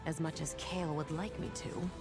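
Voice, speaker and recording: A woman voicing a brooding, defiant dark sorceress in a video game, a twin sister who is wry, pointed and wounded. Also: sits low, steady tone, normal speed, over music, lightly acted. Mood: sorrowful, bitter. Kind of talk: theatrical video game voice acting.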